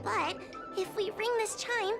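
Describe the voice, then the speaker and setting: A woman voicing an earnest, chatty young woman in a game cutscene, explaining things with animated delivery over music. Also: very high-pitched, measured pace, creaky, full character voice.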